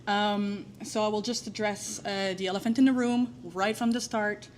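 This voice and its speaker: Woman, French accent, conference presenter, mid pitch, friendly and filler-heavy, faint background noise. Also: steady tone, normal speed. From New Brunswick, Canada. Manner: frequent "uh" fillers, friendly and self-deprecating. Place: conference room.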